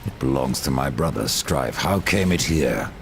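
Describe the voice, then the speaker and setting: A man playing a grim, imperious horseman of the apocalypse in a dark fantasy game, curt and menacing, with a gravelly voice. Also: rumbling low, animated, normal speed, background noise. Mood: stern.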